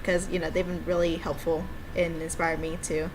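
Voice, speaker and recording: A woman chatting casually and excitably as she plays through a visual novel she made. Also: fairly low pitch, normal speed, background noise. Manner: giggly, excitable, informal. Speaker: game developer streaming own visual novel. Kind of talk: casual playthrough chat, reading dialogue aloud.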